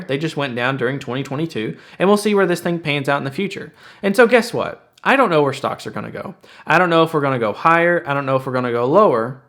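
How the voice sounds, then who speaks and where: A man's voice: fairly high pitch, fairly bright tone. A man in his twenties hosting an explanatory finance video, speaking plainly and casually and repeating phrases for emphasis. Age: 20s. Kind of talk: explanatory investing commentary to viewers.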